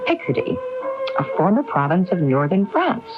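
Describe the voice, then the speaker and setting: A woman's voice: fairly low pitch, sing-song, moderate pace, over music. A woman playing an earnest, worried wife who questions insistently in a radio drama. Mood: concerned, insistent. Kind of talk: 1980s radio drama acting. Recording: dull AM radio broadcast recording.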